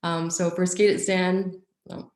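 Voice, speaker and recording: A young woman with a deep, low voice gives a conference talk while nursing a cold. She keeps it upbeat, with plenty of ums. The room around her rings with echo. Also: flat delivery, a little slow, darkish tone. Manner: upbeat, filler-heavy presenting. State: has a cold and cough. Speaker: nonprofit communications officer. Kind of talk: online conference presentation.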